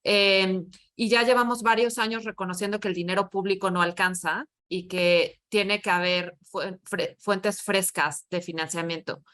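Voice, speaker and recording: A woman moderating a webinar panel in a conversational, measured way. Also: medium pitch, steady tone, fairly bright tone.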